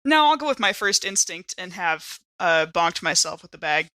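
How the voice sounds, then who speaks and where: A woman's voice: fairly low pitch, very animated, normal speed, pushed and bright. A woman playing a tabletop game, improvising casually in a giggly, playful manner.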